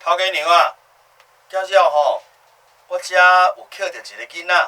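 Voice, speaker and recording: An actor playing a menacing kidnapper in a Taiwanese radio drama, speaking with a Taiwanese accent in a mock-polite, insinuating way. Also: male voice, a little high, expressive, normal speed, sharp tone, poor audio. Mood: sly menace. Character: menacing kidnapper making a sly ransom phone call.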